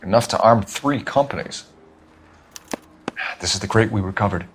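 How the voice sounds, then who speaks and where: An actor playing an earnest young 1940s police detective who asks direct, probing questions. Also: male voice, lowish voice, normal speed, slight background noise, lightly acted. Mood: matter-of-fact.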